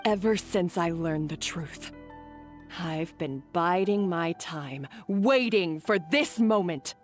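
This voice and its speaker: A woman's voice: fairly low pitch, animated, slow. An actress playing a bitter, remorseful but defiant young female chef in a video game, speaking with dramatic emotion. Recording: game dialogue over background music.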